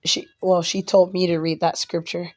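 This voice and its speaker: A woman vlogging casually to her viewers, a little hoarse and raspy from a cold, rambling with restarts and blunt asides. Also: fairly low pitch, level tone, normal speed. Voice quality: slightly raspy. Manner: blunt and outspoken.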